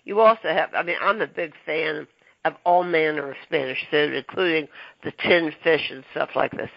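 A man hosting a casual interview, talking haltingly with many ums and restarts. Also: a little high, creaky, dry recording. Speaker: podcast host. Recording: dull lo-fi dry recording.

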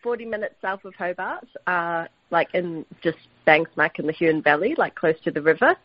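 A woman answers interview questions in a soft, modest way. Her voice is husky and breathy. The recording is dry and dull, with a lo-fi radio sound. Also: lowish voice, expressive, moderate pace, no echo. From New Zealand. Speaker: chef, interview guest.

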